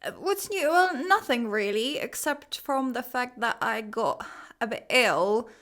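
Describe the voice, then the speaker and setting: A woman with a high voice, stuffed up and scratchy-throated from a cold. She chats warmly and loosely, full of fillers and endearments, as she runs a casual English lesson. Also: animated, unhurried. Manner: affectionate, chatty, many fillers. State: stuffy nose and sore throat. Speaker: English teacher, channel host. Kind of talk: casual chat.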